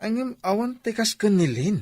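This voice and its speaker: A man's voice: high-pitched, sing-song, normal speed, darkish tone, no room sound. A man with a Filipino accent playing a mild-mannered shop boss in a radio drama. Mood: worried. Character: male print shop boss, mild son leaning on his worker.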